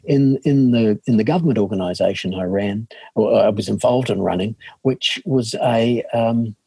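A middle-aged man with a New Zealand accent, a guest on a video-call interview, answering in a measured way with frequent fillers and restarts. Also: lowish voice, mellow, slightly rough, no room sound, poor audio. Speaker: guest, leadership consultancy chair. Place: home in a village.

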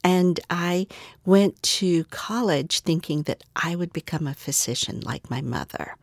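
A woman's voice: deep voice, no echo. A middle-aged woman from the Philippines, a museum curator answering interview questions thoughtfully, often repeating words as she searches for the right phrase.

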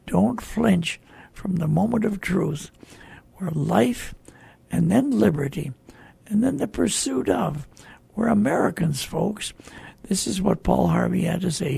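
Super husky, creaky radio host, slow and halting, earnest, talking off the cuff. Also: mid-range voice, a little mellow, no room sound. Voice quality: very raspy, gravelly. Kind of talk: unscripted talk show commentary.

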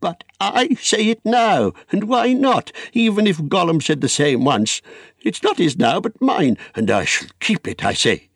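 A man acts out an old male hobbit from a storybook, his voice pitched high and swooping widely. He is fretful, wistful and stubbornly possessive, rambling emphatically with querulous hesitations. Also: sing-song, unhurried, husky, no echo, studio quality, full character voice. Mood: angry, possessive. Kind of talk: dramatised storybook reading.

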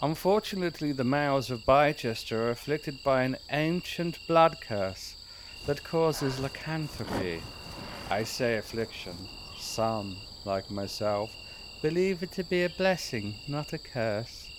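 Night insects chirr noisily around a pompous werewolf cult leader, played by a man. He speaks slowly and grandly, with big swings of pitch and dry comic asides, as in a horror comedy audio drama. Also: lively intonation, lightly acted. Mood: proud, solemn. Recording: night insect ambience, clear noise.

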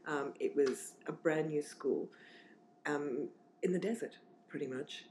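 A woman's voice: low voice, measured pace, darkish tone, a little hiss or hum, poor audio. Chatty woman in her forties. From southern Africa. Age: 40s. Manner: chatty, reflective, frequent fillers and restarts. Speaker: guest. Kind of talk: casual interview chat.

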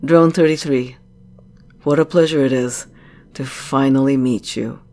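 A woman's voice: a bass voice, steady tone, a little slow, dark tone. A woman playing a commanding, curious corporate director in an audio drama, with a teasing, probing and self-assured manner. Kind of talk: scripted audio drama acting.

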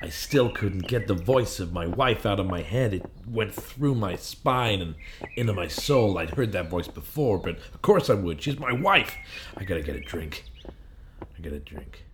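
An actor playing a confused, weary amnesiac in a film noir audio play, halting and repetitive, with hard-boiled phrasing. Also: male voice, low-pitched, expressive, slight background noise. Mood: weary, brooding.

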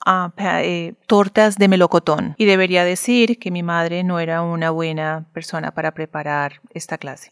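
A woman voicing a live interpretation of a lecture in a middle register. She talks in a hesitant way, often pausing on fillers and restarting her words. The sound is close and dry, with no room around her. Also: medium pitch, no room sound. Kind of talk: Spanish voice-over interpretation of a lecture.

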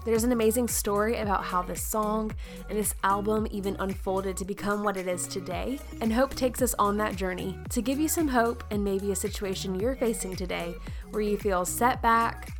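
A woman hosting a podcast, upbeat and conversational, introducing a guest and chatting. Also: mid-range voice. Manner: upbeat, conversational, says "I'm like" often. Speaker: podcast host.

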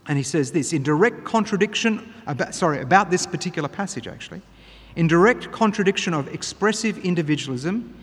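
A man preaches in an earnest, emphatic way. His voice sits a little high for a man and has a husky edge. A long reverb trails his words through the hall. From Australia. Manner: earnest, emphatic, builds to louder stresses. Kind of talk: sermon.

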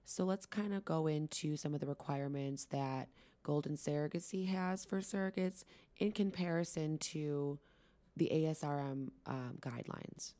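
A woman co-hosting a podcast, asking relaxed questions in casual chat. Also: deep voice, moderate pace.

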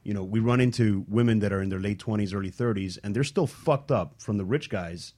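A man's voice: lowish voice, fairly quick, a little mellow. A man on a talk show who rambles and cracks jokes. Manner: rambling and wisecracking. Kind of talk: casual chat.

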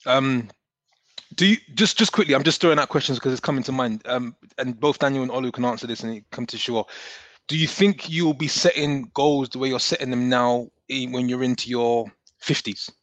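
A man's voice: mid-range voice, brisk, fairly bright tone, dry recording. A man hosts a panel chat, throwing out questions as they come to mind and repeating answers back to check them. His voice sounds dry and close, with no room around it. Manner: moderating, fires off questions, rephrases answers back. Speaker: host. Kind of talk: casual discussion.

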